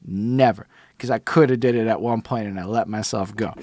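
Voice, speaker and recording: A man's voice: fairly low pitch, steady tone, normal speed, a little mellow, no echo. A man chatting casually on a podcast, telling stories in a rambling, slangy way with some swearing. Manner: rambling, slangy storytelling with profanity. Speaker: podcast speaker. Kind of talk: casual chat.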